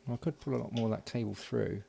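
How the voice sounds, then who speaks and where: Low gravelly man muttering at his workbench. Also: steady tone, warm tone, a little hiss or hum. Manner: halting, muttering, many restarts and fillers. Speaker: electronics hobbyist. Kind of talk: thinking aloud while working on a project.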